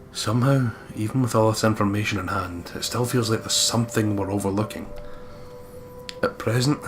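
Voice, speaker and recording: A man's voice: fairly low pitch, a bit bright. A man hosting a let's play, commenting casually and reading game text aloud in a jokey, sweary way. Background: game music.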